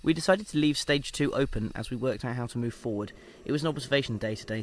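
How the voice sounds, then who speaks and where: A man's voice: fairly low pitch, natural voice. A man playing a research doctor in an audio drama, dictating an experiment log in a clinical yet conversational, slightly hesitant way. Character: male research doctor calmly logging a sinister experiment. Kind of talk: audio drama diary monologue. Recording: clear background noise and ambience.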